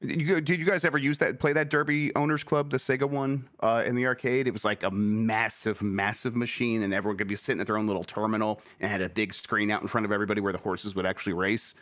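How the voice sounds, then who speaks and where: Easygoing forty-year-old man, low and dry. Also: steady tone, fast talker, hardly any pauses, a bit bright, dry recording. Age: 40s. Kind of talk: casual chat.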